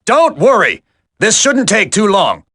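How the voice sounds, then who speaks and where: Confident polite army tech guy in a game, bright voice, lots of pitch movement. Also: wildly swooping pitch, unhurried, breathy edge, exaggerated voice. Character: self-assured male tech specialist soldier, calmly capable. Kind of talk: dramatic video game voice acting.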